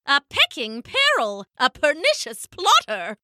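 A fussy old female penguin from a children's storybook, acted out by a woman. The voice is high and bright, leaping about with indignation. The phrasing is exclamatory and full of alliteration. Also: wildly swooping pitch, measured pace, full character voice. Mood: indignant.

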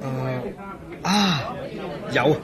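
An actor playing an earnest male engineer in a Cantonese radio drama, with a Hong Kong accent and an emphatic, expressive way of talking. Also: mid-range voice, drawn-out words, a crowd in the background. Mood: cooperative. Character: earnest, regretful male engineer in charge of waterworks.

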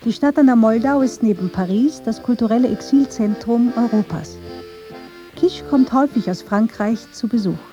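A woman's voice: a little high, normal speed, dark tone, lo-fi sound, faint music behind. A woman reading scripted narration for a radio documentary. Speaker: feature narrator.

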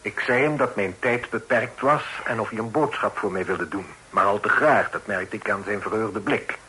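An actor playing a proud, protective middle-aged father in a period radio drama, speaking formally and with measured authority. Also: on the low side, pushed and bright, slight background noise, poor audio. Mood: measured, confiding. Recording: old radio play recording.

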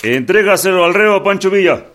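An actor playing a fussy official in a radio drama, muttering haltingly between items and giving orders. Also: male voice, high-pitched, lively intonation, background noise. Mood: fussy, commanding. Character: fussy official dictating a list, ordering guards. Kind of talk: historical radio drama acting.

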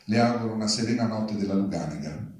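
A man with a slightly deep, soft-edged voice reads to an audience in a formal, dryly mock-polite way. He sounds far from the microphone, in a very reverberant stage space. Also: quick pace, a little hiss or hum. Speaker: reader at a public literary reading. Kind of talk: live dramatic reading of satirical open letters. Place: large reverberant theatre venue. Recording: very echoey stage, distant microphone.

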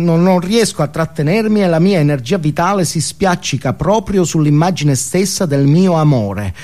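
An actor playing a fawning, self-abasing lover in a theatrical comic monologue. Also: male voice, fairly high pitch, a little mellow. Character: fawning, self-abasing male lover declaiming an obscene love letter. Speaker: stage actor performing monologues.

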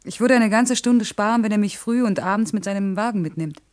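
A woman's voice: mid-range voice, fairly quick, no echo, clean studio sound, natural voice. An actress playing a guarded, proud working mother in a radio play, reserved and terse. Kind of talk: German radio play acting.

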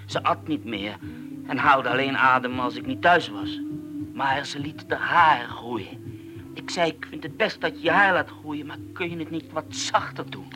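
A man acting as a haunted loner in a radio play, speaking in a slow, brooding way with sudden hushed drops. His voice sits a bit high for a man. Music plays clearly behind him. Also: close to an everyday voice. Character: unsettled man, oversensitive to noise, speaking bleakly and calmly. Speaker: troubled man being questioned about a friend's death.

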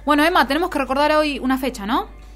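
A woman's voice: high voice, quick pace, fairly bright tone. An Argentine woman hosting a radio show in casual, informal chat with her co-hosts. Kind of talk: casual radio chat about the week's news. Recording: music bed under the voice. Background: music.

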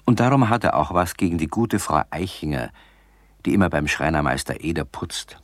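Narrator for children's radio story: man, very low voice, dry studio sound, measured scripted delivery. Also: lively intonation, dry recording.

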